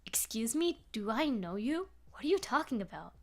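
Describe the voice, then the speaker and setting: A woman's voice: a little high, big pitch swings, normal speed. Woman reading as a blunt, exasperated ex-rocker guy. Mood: alarmed, angry. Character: weary, irritated male former rock musician.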